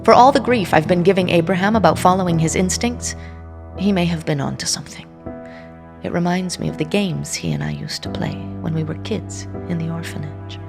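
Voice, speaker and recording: An actress plays a young seer narrating in a low, gravelly register, her words intense and often dropping to a hush. She tells the tale in the first person for an audio drama, with a musical score playing beneath her. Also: brisk, over music. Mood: tense. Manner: intense, hushed inner narration. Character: young woman seer reading objects' blood history. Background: orchestral score under the voice.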